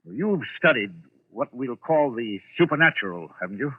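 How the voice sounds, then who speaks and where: An actor playing a brusque, self-important aging publisher in 1940s radio style, imperious and blustering but rattled. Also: male voice, medium pitch, big pitch swings, moderate pace, slightly rough. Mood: uneasy, confiding. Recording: muffled, tinny old radio recording.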